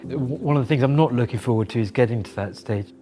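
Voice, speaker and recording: A man's voice: medium pitch, quick pace, mellow, faint music behind. Thoughtful British man co-hosting a podcast. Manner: thoughtful, conversational, frequent "right?" and "you know". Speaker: podcast co-host. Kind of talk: casual podcast chat.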